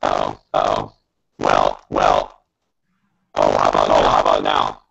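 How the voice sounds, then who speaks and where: A man's voice: extremely low pitch, level tone, slow, vocal fry, a bit bright, dull audio. A middle-aged man hosting a casual interview, repeating himself, over a distorted, echoing internet call. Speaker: interview host, basketball coach. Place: living room at home. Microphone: computer microphone on a video call.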